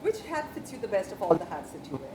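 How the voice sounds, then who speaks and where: A woman's voice: mid-range voice, big pitch swings, quick pace, a little mellow. A woman interviewing a guest, chatty and probing about rumors. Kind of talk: celebrity interview. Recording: roomy, with crowd and noise.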